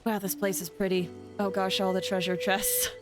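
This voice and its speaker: A woman livestreaming a game, chatting casually with viewers in a bubbly, expressive way as she thinks aloud. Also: mid-range voice, moderate pace. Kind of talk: casual gameplay chat with viewers. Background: game music.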